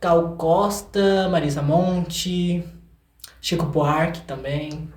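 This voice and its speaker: A man's voice: on the high side, fairly even intonation, drawn-out words. A young Brazilian man chatting casually and effusively as an interview guest, often repeating words for emphasis. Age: young adult. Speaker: singer-songwriter guest. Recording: echoey room.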